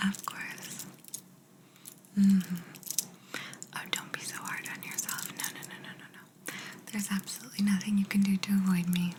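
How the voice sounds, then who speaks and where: A woman's voice: medium pitch, little pitch movement, lightly acted. Woman acting as a whispering alien abductor. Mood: soothing, faintly menacing. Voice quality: breathy whisper. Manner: slow, soothing, hushed, matter-of-fact. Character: alien woman abductor, softly bossy, soothing, faintly menacing examiner. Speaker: ASMR roleplay performer. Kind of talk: whispered ASMR personal attention roleplay.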